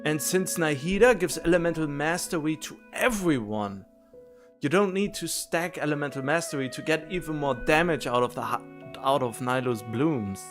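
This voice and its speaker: A man's voice: on the high side, moderate pace, soft background music. A man livestreaming a video game, chatting casually and thinking aloud in a rambling, musing way, often correcting himself.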